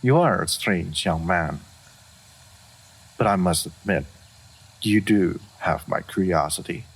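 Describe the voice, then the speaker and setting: Man playing a very deep, gravelly, aloof regal dragon. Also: expressive, exaggerated voice. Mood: puzzled, reserved. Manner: formal, measured, stoic speech with deliberate pauses. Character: regal, aloof male dragon in human form, wise and guarded. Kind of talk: scripted fantasy audio roleplay.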